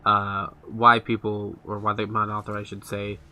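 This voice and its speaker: A man's voice: on the low side, monotone, normal speed, pushed and bright. A man giving casual let's play commentary, informally talking back to the game characters. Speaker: gamer, video creator.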